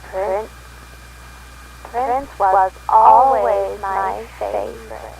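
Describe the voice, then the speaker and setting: An actress voicing the eerie, dreamy echo of a lost woman, speaking in halting, disconnected fragments through a radio. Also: mid-range voice, gravelly, a little mellow, exaggerated voice. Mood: dreamy, detached. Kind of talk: live radio drama acting. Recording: crackly noisy radio transmission.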